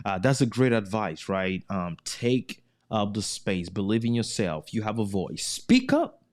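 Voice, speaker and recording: A man's voice: fairly low pitch, very animated, a little slow, a little mellow. A man hosting an interview show, upbeat and encouraging, often ending his points with a tag question. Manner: enthusiastic, frequent tag questions. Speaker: podcast host.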